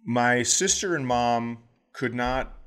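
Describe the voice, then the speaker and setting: A man's voice: mid-range voice, slow, clean tone. Male comedian telling casual, profane anecdotes. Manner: profane, animated anecdotes with acted-out quotes. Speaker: podcast host, comedian. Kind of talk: casual comic storytelling.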